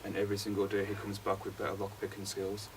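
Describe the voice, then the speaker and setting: Young male student presenter, deep and utterly flat delivery, recorded at a distance with faint noise. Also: fast. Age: young adult. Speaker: college student presenting a project. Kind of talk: informal class presentation.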